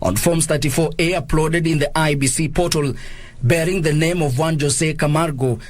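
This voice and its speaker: A man's voice: on the high side, steady tone, normal speed, no echo. A man with a Kenyan accent narrating a scripted news report as a reporter.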